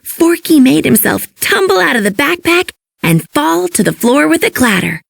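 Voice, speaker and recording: A woman reading a children's storybook as an expressive narrator. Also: high voice, moderate pace, creaky, dry recording, studio quality.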